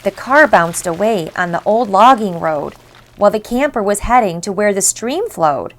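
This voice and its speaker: A woman reading a rhyming picture book aloud for children in an expressive, measured storytelling style. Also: medium pitch. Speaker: storybook reader. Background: faint background music.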